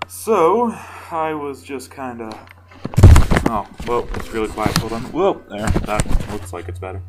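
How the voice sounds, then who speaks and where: A man with a deep voice reading fan fiction casually, informal and self-deprecating, stumbling now and then. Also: animated, a little slow, heavy background noise. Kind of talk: casual amateur story reading with asides.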